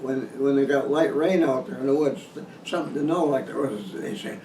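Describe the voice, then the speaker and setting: A man giving an informal instructional hunting talk in a halting, folksy way, repeating and restarting his phrases. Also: mid-range voice, darkish tone. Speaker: deer hunting instructor hosting a video seminar. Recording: roomy echo, distant mic, some noise.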